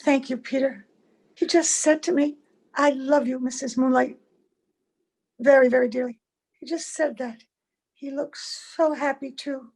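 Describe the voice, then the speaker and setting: A woman playing a weary, serene dying woman in a radio play, tender and halting, with a soft creaky voice. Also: sits high, steady tone, rough recording. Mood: tired, serene. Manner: halting, repeating phrases tenderly. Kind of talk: old-time radio play acting.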